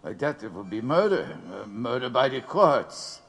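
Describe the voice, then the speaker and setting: A man's voice: mid-range voice, sing-song, moderate pace, gravelly. A man playing a proud, resolute condemned prisoner in an audio drama, firm and defiant.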